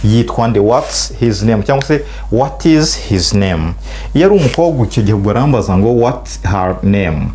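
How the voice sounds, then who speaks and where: A middle-aged man with a Rwandan accent, a native Kinyarwanda speaker, teaching spoken English and stretching out model phrases slowly for learners to repeat. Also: on the low side, expressive, darkish tone, slight background noise.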